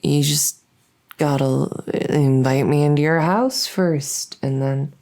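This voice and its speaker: Female performer as flustered fledgling vampire, ASMR roleplay. Mellow, very low-key tone, low pitch, earnest and hesitant. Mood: awkwardly flirty. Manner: halting, hesitant, stammering.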